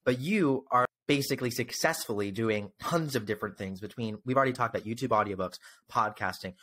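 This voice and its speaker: A man's voice: mid-range voice, brisk, fairly bright tone. A young man hosting a casual podcast interview, speaking close to a desk microphone. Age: young adult. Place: indoor room, on a video call. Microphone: desk microphone close in front.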